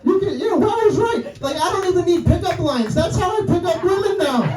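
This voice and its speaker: Brash New York stand-up, high and mellow-toned, echoey club room, heard from afar. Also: male voice, lively intonation, fairly quick. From New York City. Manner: brash, profane, keeps asking the crowd "right?" and "guys". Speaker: stand-up comedian. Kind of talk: stand-up set. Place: comedy club stage. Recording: roomy club sound from a distance. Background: audience laughter.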